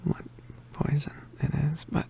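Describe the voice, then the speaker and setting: A performer voicing an injured, poisoned young male witch in an ASMR roleplay, weak and apologetic, mostly whispering in halting, pained breaths. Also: dark tone, natural voice. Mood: pained, weary. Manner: halting, pained, with sighs and throat clearing.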